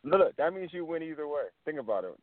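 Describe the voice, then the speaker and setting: A man co-hosting a sports radio show, laid-back and laughing often, with long drawn-out fillers. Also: fairly high pitch, very animated, over a noisy crowd. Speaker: co-host. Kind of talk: casual radio sports talk. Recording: dry, tinny phone-like sound.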